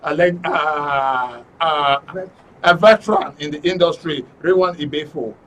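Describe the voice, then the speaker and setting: Higher-voiced Nigerian man hosting a studio show. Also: a little slow, a bit bright. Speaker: radio and TV programme host. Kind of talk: live studio panel introduction. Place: radio and TV studio. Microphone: studio microphone. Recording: faint noise, distant studio mic.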